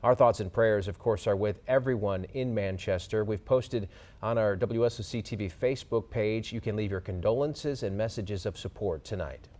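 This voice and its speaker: A man's voice: lowish voice, moderate pace, a little mellow. An American man reading the news formally as a television anchor. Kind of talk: formal broadcast newsreading.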